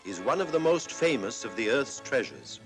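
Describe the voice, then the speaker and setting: A man's voice: mid-range voice, very animated, moderate pace, over music. A man giving dramatic, scripted story narration in a solemn, portentous 1940s manner.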